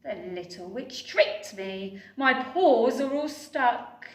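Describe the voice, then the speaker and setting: A woman voicing a hungry, greedy dragon that roars and chuckles loudly. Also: on the high side, animated, takes it slowly. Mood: gleeful. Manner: loud, gleeful roaring. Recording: roomy, distant mic.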